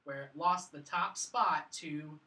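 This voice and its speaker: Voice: mid-range voice, slow pace, sharp tone. A panelist chatting casually on a film review show. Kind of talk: casual chat. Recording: echoey room, far from mic.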